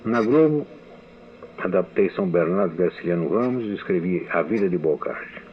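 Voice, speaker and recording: Older man, very low, tinny archival radio. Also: lively intonation, normal speed, slight background noise. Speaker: radio writer giving a testimony. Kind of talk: reading a memoir testimony.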